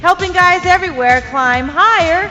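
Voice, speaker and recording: An actress playing a perky radio traffic reporter delivering a short local traffic report. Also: female voice, very high voice, moderate pace, pushed and bright, in a large hall, muffled recording, faint music behind.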